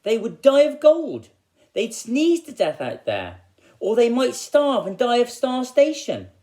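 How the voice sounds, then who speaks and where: A man voicing an anxious, tender-hearted poor father in a comic fairy tale, with plaintive, drawn-out exclamations. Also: extremely high pitch, big pitch swings, mellow, pitched above the performer's own voice, exaggerated voice. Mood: worried protest.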